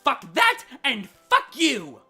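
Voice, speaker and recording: A woman's voice: high-pitched, wildly swooping pitch, slow, bright, forceful tone, heavily acted. A woman playing a furious, menacing young female villain in a dramatized fan fiction reading, with indignant, emphatic outbursts. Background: background music.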